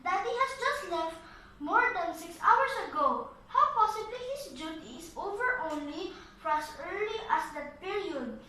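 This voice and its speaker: A woman's voice: very high-pitched, lively intonation, measured pace, sharp tone, slight background noise, full character voice. A woman voicing earnest, polite young boy puppets with careful, recited delivery in a scripted puppet show. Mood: earnest, explaining. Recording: roomy echo, slightly distant mic.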